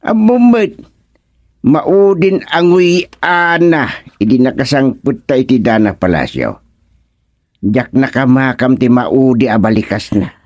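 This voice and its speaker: A man playing a confident, jolly king in a radio comedy drama, speaking theatrically with drawn-out exclamations. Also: medium pitch, lively intonation, a little mellow, no room sound, studio quality. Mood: reassuring, confident. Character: confident, jolly king going hunting with a medallion.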